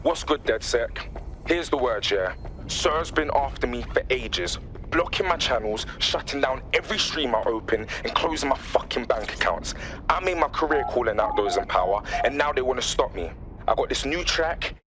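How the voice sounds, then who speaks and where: A man's voice: mid-range voice, animated, moderate pace, fairly bright tone, a little hiss or hum, close to an everyday voice. A man playing a defiant, aggrieved musician in a video game, speaking slangy, indignant lines. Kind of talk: scripted video game dialogue.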